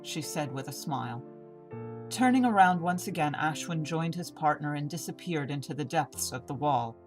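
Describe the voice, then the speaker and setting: A woman's voice: low-pitched, expressive, moderate pace. A woman reading scripted fantasy fiction as the narrator of a story. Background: plucked string music, guitar.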